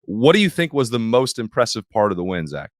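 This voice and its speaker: A man hosting a sports podcast, slightly hoarse, talking in a wry, opinionated way. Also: lowish voice, big pitch swings, brisk, no echo, studio quality. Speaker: podcast host.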